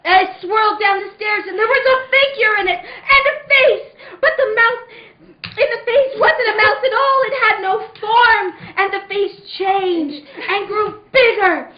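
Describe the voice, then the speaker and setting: A woman's voice: very high-pitched, a little slow. An actress playing a frightened, sleepless young wife who narrates her nightmares melodramatically in an amateur old-time radio drama. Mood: mounting terror. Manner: melodramatic, swinging from hushed to crying out. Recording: dull, echoey room with faint noise.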